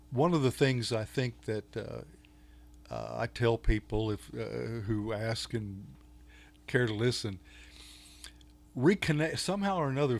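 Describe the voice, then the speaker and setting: Older Texan man, unhurried, halting guest chat full of ums and restarts. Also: medium pitch, creaky. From Texas. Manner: halting, many fillers and restarts. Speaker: podcast guest, author. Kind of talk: casual interview talk.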